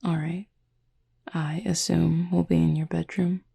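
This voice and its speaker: A woman performs a calm cupid demon roommate in a soft roleplay audio meant for sleep. The voice is low, very husky and breathy, and stays quite even. The speech is formal and measured, with a gentle teasing streak. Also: exaggerated voice. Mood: amused, caring.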